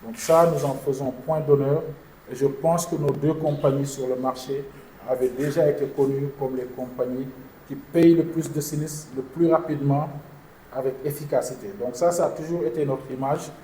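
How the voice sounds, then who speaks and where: A man, an insurance executive, answering journalists' questions at a press conference in a measured, formal way. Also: medium pitch, fairly even intonation, warm tone. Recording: echoey room with background noise.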